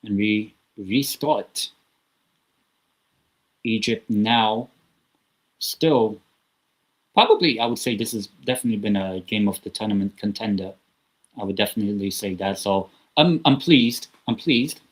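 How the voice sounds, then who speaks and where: A man's voice: lowish voice, unhurried, pauses often, low-quality recording. A man hosting a live football watchalong, giving casual, rambling commentary with chatty asides from a small room at home. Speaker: watchalong host.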